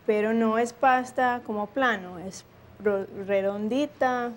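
A woman's voice: on the high side, slow pace. A young woman from Texas, a guest on a cooking show, giving short, hesitant answers. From United States, Texas. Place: small home-style studio kitchen.

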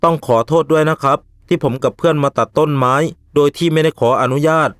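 A man voicing a respectful, sensible young village man in an animated ghost story, speaking politely and earnestly. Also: on the high side, fast, smooth, no room sound, studio quality, close to an everyday voice. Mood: earnest, apologetic.

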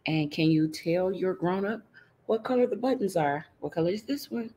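A woman's voice: lowish voice, expressive, moderate pace, warm tone. A middle-aged woman reading a picture book aloud to young children, teacherly and interactive, checking in with her listeners. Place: indoors in front of a green screen.

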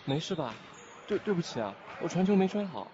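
A man's voice: on the high side, big pitch swings, a little fast. A man playing an anxious, apologetic student in an audio drama, eager to help. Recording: muffled, noisy basketball court sounds.

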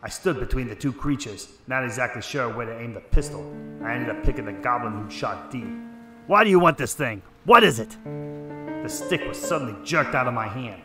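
A man's voice: mid-range voice, expressive, normal speed, lightly acted. A man playing a hard-boiled detective, telling his story in terse, dramatic first-person noir narration. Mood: tense recounting. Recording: echoey room, music underneath. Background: music.

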